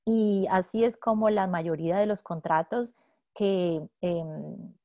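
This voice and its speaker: A woman mediator presenting a webinar lecture, speaking haltingly with many fillers and restarts over a video call. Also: mid-range voice, no echo, dull audio. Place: home office in San Diego.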